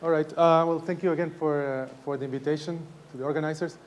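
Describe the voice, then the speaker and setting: A man in his forties from Ecuador giving a university lecture, speaking softly because of a sore throat, halting with many restarts and fillers. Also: on the high side, steady tone.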